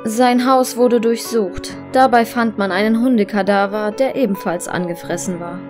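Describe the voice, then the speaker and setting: A woman's voice: medium pitch, moderate pace, lightly acted. Woman playing a sober detective, music behind. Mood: formal, sober. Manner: matter-of-fact, formal. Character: sober female police detective reading a report. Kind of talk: scripted report reading.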